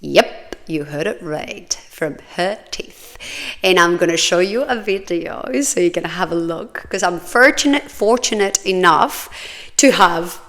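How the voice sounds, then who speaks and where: A woman's voice: lowish voice, animated, a little slow, a bit bright. A woman, chatting casually and telling her own life story with plenty of fillers. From Australia. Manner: chatty, many fillers, laughs often.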